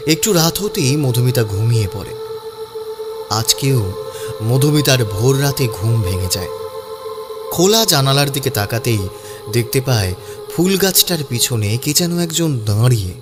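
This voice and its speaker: A man narrating a scripted story in a dramatic audiobook style. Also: medium pitch. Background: background music.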